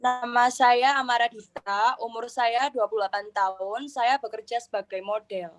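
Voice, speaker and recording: A woman's voice: a little high, steady tone, moderate pace, a little hiss or hum, close to an everyday voice. A woman playing a 28-year-old model who tells a counseling group about her love troubles in a chatty, earnest way. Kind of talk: student counseling roleplay.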